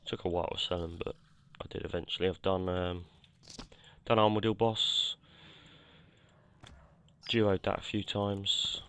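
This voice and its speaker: A man with a deep, monotone voice narrates his gameplay in a relaxed, rambling way. His throat sounds croaky from a cold, and he fills pauses with "uh" and corrects himself mid-sentence. It sounds like a home headset recording. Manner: rambling, self-correcting, many fillers. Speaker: gameplay commentator. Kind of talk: casual game commentary.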